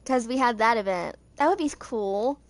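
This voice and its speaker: A woman's voice: a little high, moderate pace, no room sound. A woman in her twenties hosting a gaming video, chatting casually and reading game text aloud, sometimes stumbling over words. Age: 20s.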